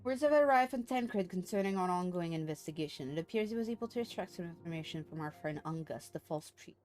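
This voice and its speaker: A woman's voice: fairly low pitch, expressive, fast talker, darkish tone, lightly acted. Woman playing composed, courtly fantasy mission-giver over music. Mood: formal, confiding. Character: composed, formal, archaic-spoken order leader giving missions. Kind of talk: reading fantasy game dialogue aloud.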